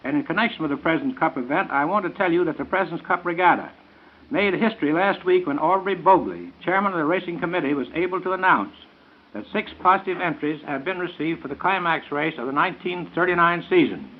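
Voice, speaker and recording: A man's voice: on the high side, lively intonation, fast. An American man making scripted announcements in the style of 1930s radio. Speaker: event announcer on a radio show. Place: radio studio. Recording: tinny, narrow 1930s radio broadcast.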